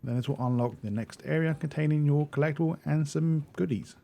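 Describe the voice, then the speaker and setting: A man's voice: fairly high pitch, brisk, gravelly, mellow. A man giving a casual video game walkthrough as a guide narrator.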